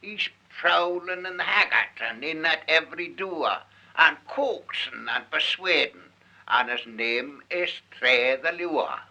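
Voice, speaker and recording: Manx guy reciting dialect poem, really sharp high voice, slow n dramatic, old 1940s recording. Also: lively intonation, gravelly, rough recording. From Isle of Man. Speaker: Manx language student reciting dialect verse. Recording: 1948 archive field recording.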